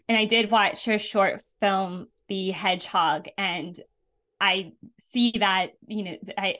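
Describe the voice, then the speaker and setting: A woman's voice: mid-range voice, pushed and bright. Co-host woman, chatty, lots of you know and kind of. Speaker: podcast co-host. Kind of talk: casual interview questions.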